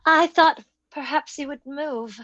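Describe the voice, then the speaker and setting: An actress plays a timid, fearful woman in an old radio ghost story. Her voice sits very high and sounds evasive. The recording is a little dull. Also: measured pace, sharp tone. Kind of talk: old-time radio drama acting.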